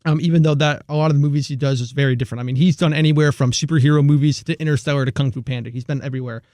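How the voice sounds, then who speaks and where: A man's voice: medium pitch, racing, dry recording, studio quality. A young man hosting a college radio show, chatting casually about film scores with lots of fillers. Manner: relaxed, rambling, many fillers.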